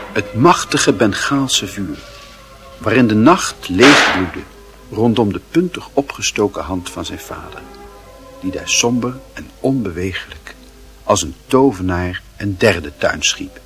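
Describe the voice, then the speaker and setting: A man narrating a radio play in a literary style, with measured, dramatic phrasing. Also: on the low side, animated, music in the background.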